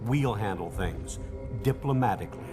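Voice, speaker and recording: An actor playing an authoritative senior government official in a video game cutscene, formal, measured and condescending. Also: male voice, lowish voice, expressive, over music, close to an everyday voice. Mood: smooth dismissal.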